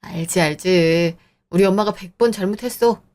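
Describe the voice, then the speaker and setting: A woman voicing a young man, a fiancé who soothes and smooths things over in an audio drama. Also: lowish voice, normal speed, a little mellow, lightly acted. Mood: placating. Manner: soothing, placating. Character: young adult man, gentle peacemaking fiancé.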